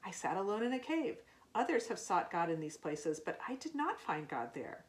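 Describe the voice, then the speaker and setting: A woman voicing a calm, wise man seeker in a children's storybook, earnest and plain-spoken. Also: on the low side, normal speed. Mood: earnest recounting.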